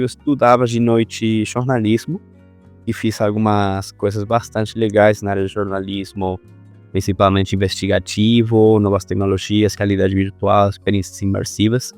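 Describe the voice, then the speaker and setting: A man in his thirties from Argentina, a podcast guest speaking Portuguese with an Argentine Spanish accent, telling his story in a relaxed, rambling way. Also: lowish voice. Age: 30s. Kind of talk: casual interview talk.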